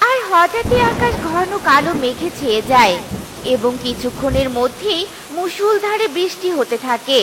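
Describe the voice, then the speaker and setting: A woman's voice: high-pitched, very animated, normal speed, background noise. A woman giving scripted narration for a cartoon story.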